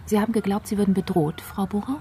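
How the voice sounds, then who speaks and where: An actress playing a composed female investigator in a crime radio play, speaking in a factual, firm, measured way. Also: mid-range voice, steady tone, mellow, slight background noise, close to an everyday voice. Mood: calm, insistent. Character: composed, matter-of-fact female criminal investigator. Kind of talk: dramatic radio play acting.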